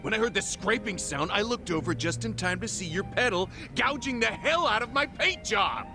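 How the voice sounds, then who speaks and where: High-pitched, arrogant swindler over music. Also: male voice, lively intonation, moderate pace. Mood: indignant. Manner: brusque, condescending, blustering. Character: pushy, arrogant male swindler. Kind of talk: video game cutscene dialogue. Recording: music playing behind dialogue.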